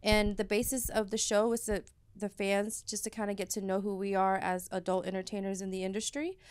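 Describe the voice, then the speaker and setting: Woman from dallas, smooth clear voice, chatty and rambly in an interview. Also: medium pitch, level tone, brisk, a little mellow. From Dallas, Texas. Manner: chatty, hedging with fillers. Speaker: guest, host of an adult content show. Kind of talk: casual interview chat.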